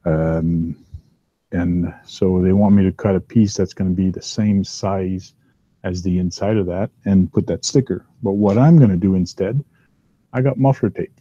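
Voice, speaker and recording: A man's voice: deep voice, fairly even intonation, measured pace, dark tone, no echo, muffled recording. A man with a big voice hosting a casual chat while working at a hobby bench at home, rambling with lots of ums and uhs. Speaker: host. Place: downstairs workbench at home.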